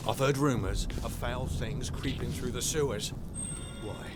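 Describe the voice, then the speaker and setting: A man's voice: mid-range voice, measured pace, close to an everyday voice. A man playing a nervous, gossiping guard in a video game's background dialogue. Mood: uneasy. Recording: drowned under loud music.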